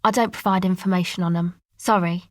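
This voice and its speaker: A woman's voice: fairly low pitch, fairly even intonation, brisk, dry recording, lightly acted. An actress playing a guarded, laid-back young woman who leads a streetwise crew in a video game, speaking casually with dry understatement. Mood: guarded, cool. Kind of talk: video game dialogue acting.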